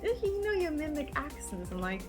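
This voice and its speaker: A woman's voice: high-pitched, big pitch swings, moderate pace. A woman chatting casually while gaming, bubbly and full of exclamations. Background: music.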